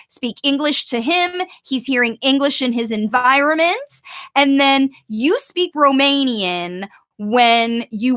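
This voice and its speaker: A woman's voice: fairly high pitch, fairly bright tone. A woman coaching parents on language learning in a chatty, upbeat live-stream Q&A, reading out viewers' questions as she goes.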